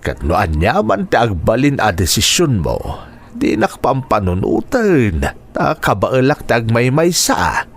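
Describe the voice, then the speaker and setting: Slow-talking kindly older uncle. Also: male voice, mid-range voice, wildly swooping pitch, heavily acted. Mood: fatherly, reassuring. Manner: slow, fatherly, reassuring. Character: kindly, reassuring older uncle, a retiring teacher. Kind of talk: radio drama acting.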